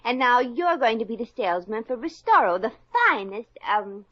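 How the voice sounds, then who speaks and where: Brassy wisecracking young gal, lively highish voice, dry muffled old radio. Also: female voice, normal speed, no room sound. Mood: evasive. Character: wisecracking savvy young woman. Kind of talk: 1950s radio comedy acting.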